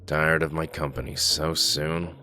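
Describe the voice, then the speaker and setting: A man playing a gruff, sardonic sheriff with a dry, grumbling manner in a comic dub. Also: rumbling low, little pitch movement, measured pace, pushed and bright, soft background music, heavily acted. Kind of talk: comic dub character acting.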